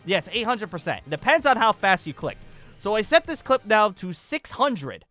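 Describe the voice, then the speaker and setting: A young man giving a casual, enthusiastic video tutorial, excitable and emphatic, often repeating himself. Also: high-pitched, moderate pace, faint music behind. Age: young adult.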